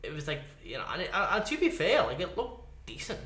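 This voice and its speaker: Bright-voiced man chatting in echoey room. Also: on the high side, wildly swooping pitch. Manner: halting, heavy use of "like", blunt swearing. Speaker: podcast co-host. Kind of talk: casual podcast chat. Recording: echoey room, music underneath.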